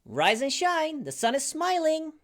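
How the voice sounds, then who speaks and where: A woman's voice: high-pitched, sing-song, unhurried, pitched above the performer's own voice, full character voice. A woman voicing a peppy, cutesy character who cheerfully wakes the listener, in short voice pack lines.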